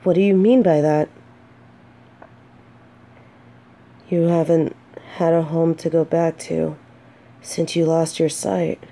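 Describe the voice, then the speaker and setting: Slow-speaking, mellow female knight acted by a woman. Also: low voice, level tone. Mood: concerned. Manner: soft, hesitant, earnest, dramatic pauses. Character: gentle, earnest female knight consoling a despairing blind companion. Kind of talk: scripted fantasy romance audio roleplay.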